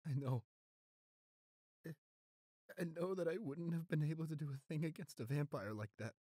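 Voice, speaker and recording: A man playing a gentle, devoted, weakened boyfriend in an intimate roleplay audio drama, speaking softly, haltingly and reassuringly. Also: fairly high pitch, lively intonation, no room sound. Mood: regretful. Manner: tender, halting, reassuring, close and soft.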